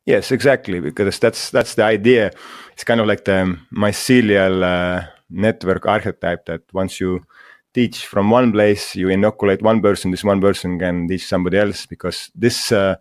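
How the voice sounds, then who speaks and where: A man from Estonia, a guest in an interview, speaking English in a halting way with many fillers and restarts. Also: low-pitched, steady tone, no echo, clean studio sound. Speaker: guest, mushroom school founder. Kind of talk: casual interview talk.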